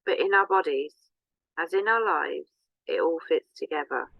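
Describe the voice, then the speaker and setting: A woman's voice: sits low, normal speed, creaky, dry recording, highs cut off. Solemn woman reader, very dry sound. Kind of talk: solemn dramatic reading.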